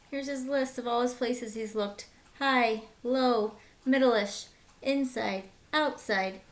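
A woman in her thirties reading a picture book aloud as a teacher, with simple, deliberate phrasing for young children and short asides. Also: sits high. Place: small room at home, indoors.

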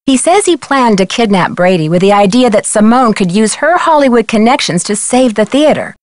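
A woman's voice: a little high, animated, brisk, a little mellow, no room sound, clean studio sound, lightly acted. A woman playing a level-headed teenage girl detective in a video game, speaking scripted lines in a composed, conversational way. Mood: reflective. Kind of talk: scripted game dialogue and letter narration.

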